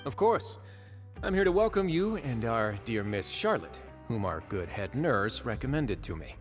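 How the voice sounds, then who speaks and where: An actor playing a composed, courteous duke of a prison fortress in a video game, speaking in a polished, measured way with understated irony. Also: male voice, medium pitch, very animated, soft background music, lightly acted.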